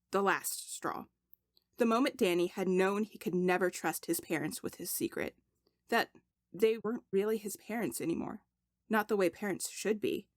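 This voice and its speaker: A woman reading a story aloud as expressive audiobook narration, deliberate, with dramatic pauses for emphasis. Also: medium pitch, no echo, studio quality. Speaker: podfic narrator.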